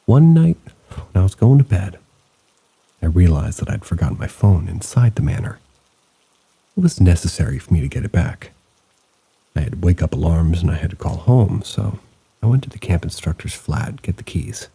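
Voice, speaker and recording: A man hosting a channel of paranormal stories, reading listener accounts aloud in a measured, low-key storytelling manner. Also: big pitch swings, vocal fry, mellow, breathy edge. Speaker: host and narrator.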